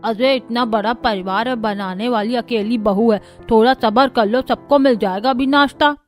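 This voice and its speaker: High-pitched chatty household woman acted by a woman. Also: moderate pace, soft background music, lightly acted. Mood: bossy. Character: women of an Indian household, chatty and opinionated. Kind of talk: Hindi cartoon family-story dubbing.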